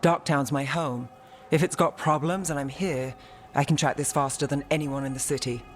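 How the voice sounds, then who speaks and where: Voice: mid-range voice, steady tone, normal speed, faint music behind, lightly acted. An actor playing a cool, wry, self-assured city detective in a fantasy video game. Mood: determined, brisk.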